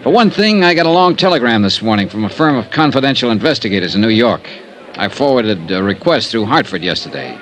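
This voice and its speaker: An actor playing a dogged insurance investigator in an old-time radio drama, American, assertive and wry. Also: male voice, fairly low pitch, very animated, quick pace, a bit bright, rough recording. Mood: reasoning. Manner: assertive, wry, hard-boiled. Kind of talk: old-time radio detective acting and first-person narration.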